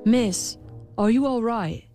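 A woman's voice: fairly high pitch, slow, warm tone, soft background music, pitched above the performer's own voice. A woman voicing a kind and earnest young prince in a storybook tale. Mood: concerned.

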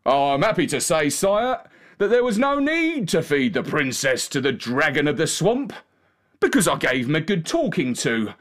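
Man playing sly folksy coachman, lively pitch. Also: moderate pace. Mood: boastful. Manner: folksy, ungrammatical speech. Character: boastful, sly, uneducated male coachman.

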